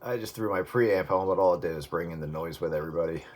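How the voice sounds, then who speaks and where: A man's voice: low voice, fast. A man in casual CB radio chat, talking in a folksy way with radio jargon over very noisy, clicking radio audio.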